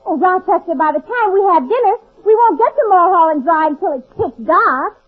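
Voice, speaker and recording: A woman's voice: very high voice, expressive, a little fast. A woman playing a sceptical, teasing sweetheart in a 1940s radio comedy. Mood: sceptical, fond. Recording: phone-like 1940s radio, audience behind.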